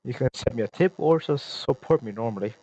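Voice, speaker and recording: Man, medium pitch, informal, slightly halting, casual video creator talk, buzzy recording. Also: lively intonation, warm tone, lo-fi sound. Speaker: amateur voice actor and video creator. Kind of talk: casual sign-off to viewers. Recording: buzzing noise.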